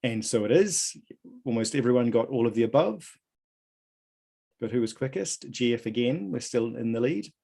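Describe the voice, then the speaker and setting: A man hosting a live online quiz, reading out questions and answer options in a measured way. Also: mid-range voice, expressive, vocal fry, dark tone. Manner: reads options aloud in a measured list. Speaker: quiz host at an online conference.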